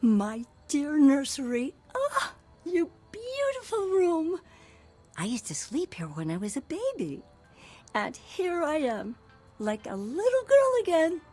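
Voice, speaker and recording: A woman's voice: sits high, huge pitch swings, slow, a little mellow, soft background music, full character voice. A woman voicing an eccentric, sentimental aristocratic lady in a visual novel, gushing and childlike in a theatrical, sighing way. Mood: tearful nostalgic.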